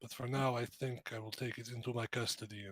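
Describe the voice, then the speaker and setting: A man playing a suspicious, formal-spoken adventurer in a tabletop game, measured and haughty. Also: medium pitch, little pitch movement, a bit bright, no room sound, close to an everyday voice. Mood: grave suspicion. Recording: background noise with typing.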